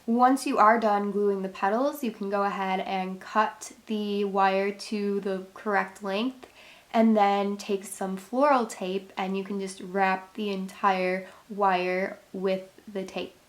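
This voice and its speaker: A woman's voice: mid-range voice, steady tone, slow pace. Congested woman explaining a craft. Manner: chatty, friendly step-by-step instructions. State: congested, voice a bit worn. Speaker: craft channel host. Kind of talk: casual craft tutorial.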